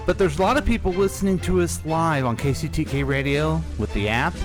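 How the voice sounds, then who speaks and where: A man's voice: a little high, fairly quick. A man hosts a small local radio show, chatting casually and playfully with his guest as he lines up the questions. He speaks into a studio microphone, with faint music under the talk.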